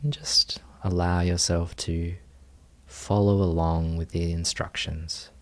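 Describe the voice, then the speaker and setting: A man leading a guided meditation as a teacher, speaking gently in short phrases with unhurried pauses. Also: deep voice, little pitch movement.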